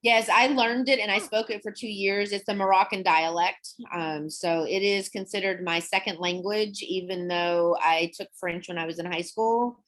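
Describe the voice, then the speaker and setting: A young adult woman teaching an online English class, explaining words in a chatty, relaxed way and laughing often. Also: mid-range voice, moderate pace, few pauses, rough recording.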